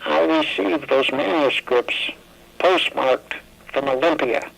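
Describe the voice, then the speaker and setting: Man acting very creaky, genial male academic. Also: mid-range voice, slow pace, fairly bright tone, heavily acted, rough recording. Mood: genial, puzzled. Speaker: actor in a radio play. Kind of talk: 1980s radio drama acting. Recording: old radio drama recording.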